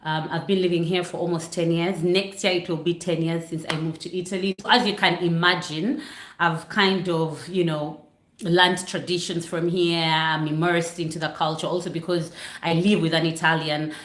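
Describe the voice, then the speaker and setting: A woman's voice: lowish voice, flat delivery, moderate pace, a bit bright. Chatty woman from Uganda, echoey room. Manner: chatty, apologetic, distracted by lighting. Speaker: vlogger hosting a livestream. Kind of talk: casual chat to a live audience. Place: home living room near a Christmas tree. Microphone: computer setup for a livestream.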